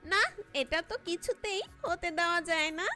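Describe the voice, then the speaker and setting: A woman voicing a sly, envious cartoon crow, cackling and wheedling with drawn-out delivery. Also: extremely high pitch, sharp tone, soft background music. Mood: envious, plotting. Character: sly, envious, scheming crow who lies sweetly. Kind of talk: animated cartoon character acting.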